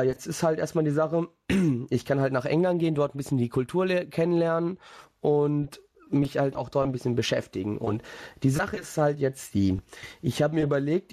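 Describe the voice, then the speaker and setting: A man's voice: a little high, mellow, no echo, clean studio sound. A young man rambling through a personal story in casual podcast chat, with many fillers and restarts. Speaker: podcast host.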